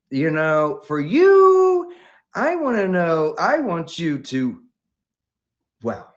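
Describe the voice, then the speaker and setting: Male stream host, rambling and enthusiastic, voice swooping up and down, muffled audio. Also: a little high. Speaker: livestream host. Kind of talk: livestream hosting.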